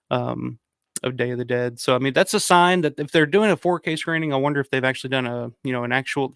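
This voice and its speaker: A man hosting a casual livestream, hoarse from a cold, rambling and swearing as he reads viewer comments. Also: medium pitch, a bit bright, dry recording, clean studio sound. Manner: rambling, profane, frequent restarts. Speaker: livestream host.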